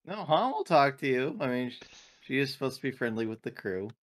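A man's voice: mid-range voice, very animated, brisk. A man talking casually as a player in a tabletop roleplaying game. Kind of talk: casual chat.

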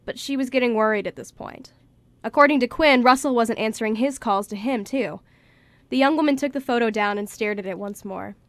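A woman's voice: a little high, brisk, no echo. A woman reading scripted story narration for a radio play.

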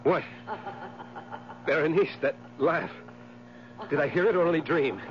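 An actor playing a tormented, haunted widower sliding into madness, overwrought and halting in a theatrical 1970s radio drama style. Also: male voice, a little high. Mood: frantic confusion. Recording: muffled, noisy 1970s radio broadcast with hum.

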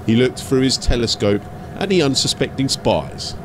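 A man's voice: mid-range voice, expressive, moderate pace, background noise. A man giving a scripted, dramatic narration as an audiobook storyteller.

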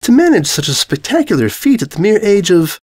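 Refined female pony for a story, high and cuttingly polite; male reader puts her on. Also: big pitch swings, brisk, darkish tone, no echo, clean studio sound. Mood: feigned politeness. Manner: prim, elaborate, dryly sarcastic diction.

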